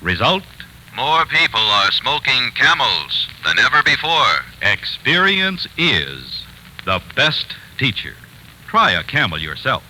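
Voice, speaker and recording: A man delivers an emphatic 1940s radio sales pitch. His lowish voice swoops widely and rings out sharp and forceful over faint broadcast hiss. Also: big pitch swings, takes it slowly, poor audio. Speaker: commercial announcer.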